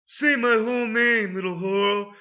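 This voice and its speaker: A man's voice: sits high, steady tone, unhurried, sharp tone, pitched above the performer's own voice. A man voicing a domineering, cold and cruel male snowman who barks commands with a sneer. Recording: dull lo-fi recording.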